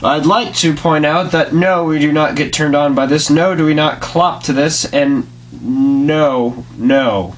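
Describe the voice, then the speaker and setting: A man's voice: on the high side, measured pace. A man chatting casually as a co-host, chiming in with irreverent, joking remarks. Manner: irreverent, interjecting. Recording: amateur recording with background noise.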